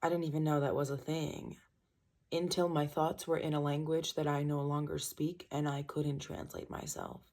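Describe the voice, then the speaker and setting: Gravelly low-voiced woman rambling through a reading. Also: level tone, normal speed, darkish tone. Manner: rambling, trailing off, self-interrupting. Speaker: tarot reader. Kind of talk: casual tarot reading chat. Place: small home room at night, birds outside. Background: birds chirping.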